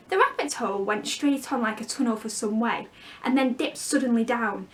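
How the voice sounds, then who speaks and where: A woman reading a children's story aloud in an expressive, dramatic way, with hushed asides. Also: a little high.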